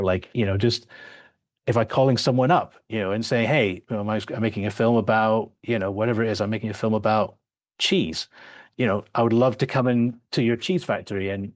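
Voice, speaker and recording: Man, 30s, low voice, reflective guest with a dry close mic and many restarts. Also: no echo. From born in Australia, raised in several countries. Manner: reflective, restarts often, many "you know" fillers. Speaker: filmmaker guest. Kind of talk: conversational podcast interview.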